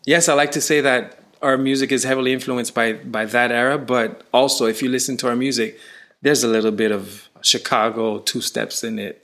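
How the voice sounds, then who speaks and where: A man from Canada, a touring musician, chatting in an interview and telling stories with rising excitement. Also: medium pitch. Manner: animated storyteller, voice rising when excited. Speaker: musician guest. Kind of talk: casual interview.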